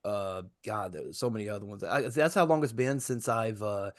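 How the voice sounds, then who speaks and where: A man's voice: on the low side, moderate pace, dry recording, studio quality. A man chatting casually as a co-host on an interview show. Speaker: podcast co-host. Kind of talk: casual chat.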